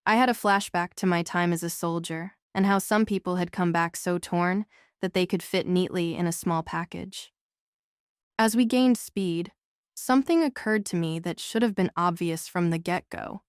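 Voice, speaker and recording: A woman's voice: lowish voice, normal speed, smooth, no room sound, clean studio sound. A woman reading scripted first-person novel narration as an audiobook narrator.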